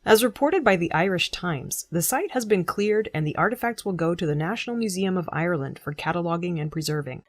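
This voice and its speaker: A woman reading scripted news as a presenter, in a measured, formal delivery. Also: lowish voice, animated. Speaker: news reader.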